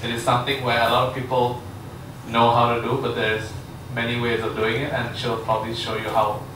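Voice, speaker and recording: A man's voice: mid-range voice, completely flat delivery, brisk, noisy background. Hesitant man introducing a speaker. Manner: hesitant, many fillers. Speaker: event host introducing a speaker. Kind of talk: informal introduction. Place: large echoey lecture room. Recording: echoey room recorded from far off.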